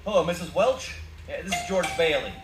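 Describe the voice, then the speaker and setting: A man's voice: fairly high pitch, animated, fairly quick, lightly acted. An actor playing a harried, short-tempered, despairing husband and father in a live 1940s-style radio play, biting and emphatic. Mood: furious. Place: small theatre stage with audience. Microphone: distant camera in the audience. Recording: roomy stage recording from far off, very noisy.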